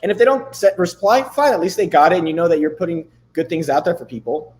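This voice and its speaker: A man in his thirties hosting a live stream, chatting loosely and swearing casually, a bit hoarse from losing his voice. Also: on the high side, fast talker, a little mellow. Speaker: livestream host. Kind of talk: casual livestream chat with screen demos.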